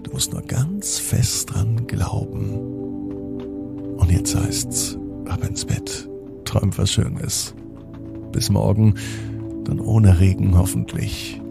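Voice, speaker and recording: A man narrating a scripted bedtime story for children, with a gentle, deliberate storytelling manner. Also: lowish voice, steady tone, gravelly, over loud music.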